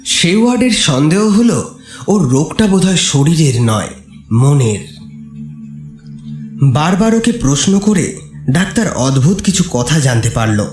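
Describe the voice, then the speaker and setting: A man's voice: fairly high pitch, a little mellow. A man narrating a scripted horror story in a suspenseful, theatrical storytelling manner. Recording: roomy reverb, faint music behind.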